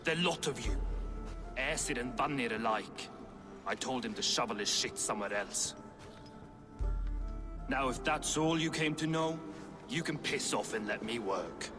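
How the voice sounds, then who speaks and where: An actor playing a gruff, foul-mouthed and sardonic dwarf master blacksmith in a video game, blunt and mocking as he haggles. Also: medium pitch, expressive, measured pace, long pauses, music in the background, lightly acted. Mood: blunt, scornful. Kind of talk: video game cutscene acting.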